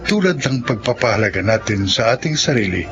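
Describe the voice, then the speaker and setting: A Filipino-accented man reads scripted radio drama narration. His voice sits at a medium pitch, with prominent music running underneath. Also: very animated, brisk, dull audio.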